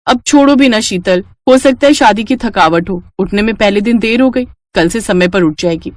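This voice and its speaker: A woman's voice: mid-range voice, normal speed, no echo, voice pushed down, lightly acted. A woman voicing a dutiful, earnest, fussing young sister-in-law in a Hindi cartoon story. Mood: concerned. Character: dutiful, protective elder sister-in-law, young Indian housewife.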